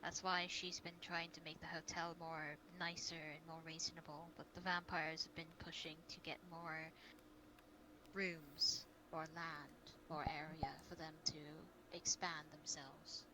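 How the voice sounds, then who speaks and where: A woman's voice: low voice, little pitch movement, a little slow. A woman playing a caring, cautious guild leader in an improvised fantasy role-play, speaking earnestly and hesitantly over online voice chat. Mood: worried. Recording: noisy online voice-chat audio.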